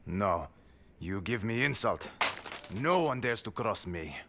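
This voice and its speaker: Menacing slow crime boss. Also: male voice, lowish voice, animated, noisy background. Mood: offended. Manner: slow, forceful, threatening delivery. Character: menacing Russian gambler crime boss, proud and easily insulted. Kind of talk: audio spy game acting.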